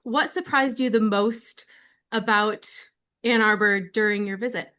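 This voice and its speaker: A woman's voice: medium pitch, unhurried, sharp tone. A woman hosting an interview, asking polite questions with some hesitant fillers. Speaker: interview host, social media producer.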